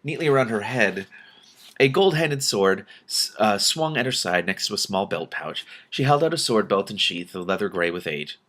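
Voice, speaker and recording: A man with a fairly low voice reads a story aloud as host. He is an amateur reader, expressive and informal, mixing narration with his own casual remarks. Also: moderate pace. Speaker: host reading a book aloud with commentary.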